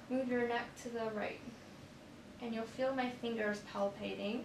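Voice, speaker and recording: A young woman playing a nurse in a clinical demonstration, politely talking a patient through assessment steps. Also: fairly high pitch. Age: young adult. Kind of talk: scripted clinical role-play, explaining steps to patient. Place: small clinic exam room, indoors. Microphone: far camera microphone. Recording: echoey room, distant mic, noisy background.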